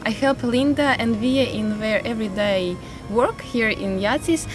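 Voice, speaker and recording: A young Latvian woman studying to teach speaks in a slightly high voice, halting now and then to find words. Loud music plays beneath the interview. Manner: hesitant, searching for words. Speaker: pedagogy student and youth centre helper. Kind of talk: documentary interview. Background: background music.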